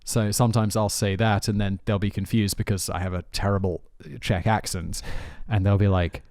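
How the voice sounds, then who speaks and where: A man's voice: on the low side, little pitch movement, normal speed, darkish tone, no echo, clean studio sound. A man hosting a podcast, chatting casually and rambling, often saying "dude". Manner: rambling, spells things out, says dude often. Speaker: podcast host. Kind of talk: casual chat.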